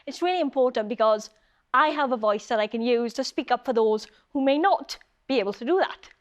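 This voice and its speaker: A woman's voice: fairly high pitch, animated, fairly quick, highs cut off. A woman speaking earnestly and conversationally as a campaigning guest in an interview.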